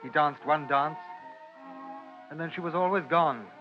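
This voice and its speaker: A man narrating a literary 1950s radio drama in a measured, dramatic manner. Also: on the high side, music in the background. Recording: muffled vintage radio recording.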